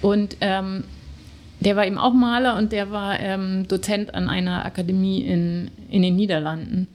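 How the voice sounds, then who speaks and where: A woman's voice: mid-range voice, steady tone, normal speed, a little mellow. A middle-aged woman from Germany, a guest telling her story in casual conversation, hesitant with many fillers.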